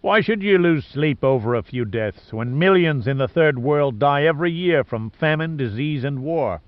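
A man's voice: fairly high pitch, lively intonation, moderate pace, no echo, lo-fi sound, lightly acted. A man voicing an arrogant, callous older male tycoon villain in an audiobook, speaking with cold, pompous scorn. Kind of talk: audiobook character dialogue.

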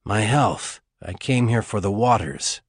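A man's voice: lowish voice, lively intonation, unhurried, husky, no room sound. A man voicing a captured American man answering tersely with dry wit. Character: wry defiant captive American man.

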